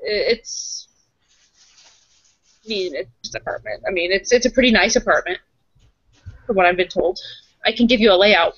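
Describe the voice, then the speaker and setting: A woman's voice: medium pitch, a bit bright, no echo. Woman tabletop player, casual improvised delivery, mid-range voice, slightly dull audio. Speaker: roleplaying game player. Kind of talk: casual improvised roleplay chat.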